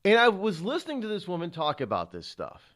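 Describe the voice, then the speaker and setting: A man's voice: high-pitched, wildly swooping pitch, fairly quick, fairly bright tone. A middle-aged man hosting a talk radio show, delivering an indignant, rhetorical monologue with frequent stumbles and fillers.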